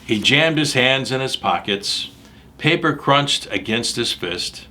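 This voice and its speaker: A man's voice: mid-range voice, expressive, slow pace, sharp tone, slight background noise. A man reading a picture book aloud to children in a dramatic, emphatic way, speaking directly to his young listeners. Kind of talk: animated read-aloud with asides about the pictures.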